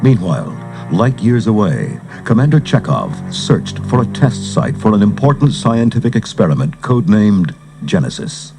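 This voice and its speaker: A man's voice: lowish voice, sing-song, moderate pace, gravelly. A man giving dramatic scripted narration for a read-along adventure story. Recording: cassette transfer with music underneath.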